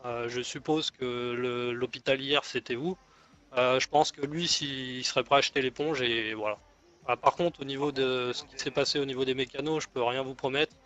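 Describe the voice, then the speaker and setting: A man's voice: mid-range voice, fairly even intonation, moderate pace, a bit bright, lightly acted. Man playing a hesitant gangster negotiator, muffled chat. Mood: hesitant, bargaining. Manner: hesitant, rambling, conciliatory. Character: deferential male gang negotiator offering an alliance. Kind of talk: improvised online roleplay dialogue.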